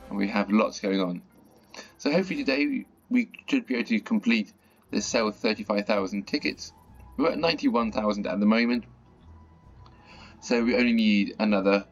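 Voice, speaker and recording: A man with a croaky, slightly sore-throated voice, chatting casually as he plays and comments on a bus management game. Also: on the low side. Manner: chatty, mildly exasperated at stuck buses. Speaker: gaming channel host. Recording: dull lo-fi audio with faint music.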